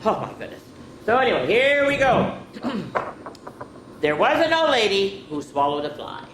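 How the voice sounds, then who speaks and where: Animated woman reading stories to children. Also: mid-range voice, moderate pace. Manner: chatty, interactive, prompting young listeners to join in. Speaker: children's story-time host. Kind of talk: animated read-aloud storytelling for children. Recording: roomy echo with background noise.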